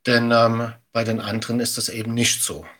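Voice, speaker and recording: A middle-aged man giving measured, practical explanations as an expert guest in an interview. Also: mid-range voice, monotone, pushed and bright. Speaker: media education expert, podcast guest.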